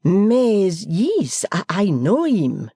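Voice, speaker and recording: A reader doing a puzzled gentleman. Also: mid-range voice, huge pitch swings, very slow, slightly rough, no room sound, clean studio sound, voice pushed up, exaggerated voice. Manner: courteous, hesitant, broken English. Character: politely puzzled French gentleman guest.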